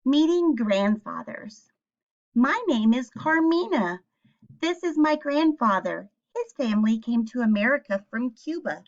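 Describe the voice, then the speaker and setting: A woman teacher reading a picture book aloud and speaking to young children with simple questions and clear explanations. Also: fairly high pitch, expressive, unhurried, darkish tone, lo-fi sound.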